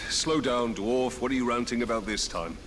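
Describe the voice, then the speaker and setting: Man playing a stern, measured ranger captain. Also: mid-range voice, a bit bright, slight background noise, lightly acted. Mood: grave, questioning. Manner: measured, dry, questioning. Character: stern, skeptical male ranger captain, grave and determined. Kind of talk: video game cutscene acting.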